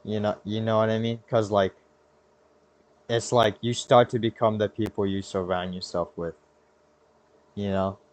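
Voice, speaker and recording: A man's voice: lowish voice, little pitch movement, moderate pace, long pauses. A young adult American man hosting a podcast in a casual, slangy, rambling way, often cutting himself off mid-thought. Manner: slangy, rambling, self-interrupting. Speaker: podcast host. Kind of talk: casual chat.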